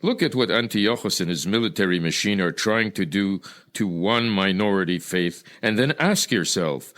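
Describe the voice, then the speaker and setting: Man acting an impassioned, low-voiced rebel elder. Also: expressive, moderate pace, a bit bright. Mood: indignant. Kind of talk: old-time radio style acting.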